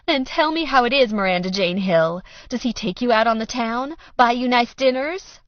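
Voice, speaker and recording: Brief: woman playing a fragile, weepy mother, high strained pleading, audiobook. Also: moderate pace, dry recording, lo-fi sound, close to an everyday voice. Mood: bitter, pressing. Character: tearful, overdramatic middle-aged mother.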